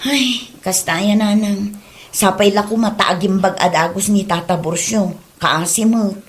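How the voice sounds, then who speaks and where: A woman's voice: mid-range voice, measured pace, close to an everyday voice. A woman playing a chatty, nosy married villager in an amateur comedy drama, with a Filipino Ilocano accent. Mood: shocked, concerned.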